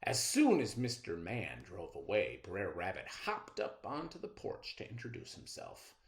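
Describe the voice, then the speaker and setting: A man narrates a children's tale aloud. He is unhurried, with deliberate phrasing. His pitch rises and falls dramatically, very much a storyteller's expressive delivery.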